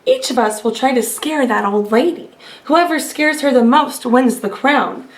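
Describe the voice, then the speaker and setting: A woman's voice: sits high, measured pace. A woman reading as a proud, bossy male lion in a storybook, with a boastful manner. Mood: scheming.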